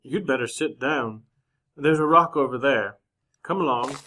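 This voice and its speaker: An actor playing a courteous gentleman journalist in a radio western, speaking in measured, formal, precise words. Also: medium pitch, a little hiss or hum. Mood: concerned, firm. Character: proper, courteous gentleman journalist narrating his western travels. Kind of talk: old-time radio drama narration and dialogue.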